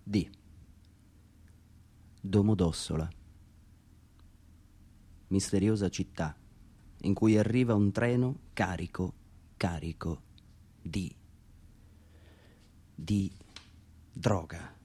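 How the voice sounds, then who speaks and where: A man performs a literary monologue in radio-theatre style. His voice sits very low and has a soft, dark tone. The delivery is dramatic and inward-looking, broken by deliberate pauses. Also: slightly rough. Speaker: writer reading own text aloud.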